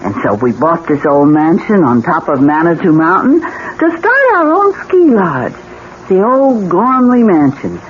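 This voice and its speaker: A determined, polite wife in a 1970s radio play, with lively melodic swings in her earnest, coaxing speech. The sound is thin and narrow like an old broadcast, with faint noise. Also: lowish voice, a little slow. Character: hopeful, reasonable young wife running a ski lodge. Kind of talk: 1970s radio drama acting.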